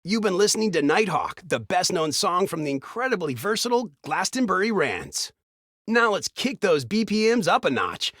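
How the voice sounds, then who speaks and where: An actor playing an upbeat retro radio DJ in a video game, with a smooth announcer's delivery of scripted patter. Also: male voice, high voice, very animated, moderate pace, a bit bright, no echo, studio quality, exaggerated voice. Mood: enthusiastic.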